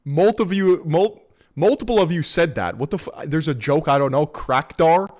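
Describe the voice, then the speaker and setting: A man's voice: on the high side, expressive, brisk, darkish tone. A man from the United States giving casual reaction commentary as a streamer, slangy and joking, swearing freely.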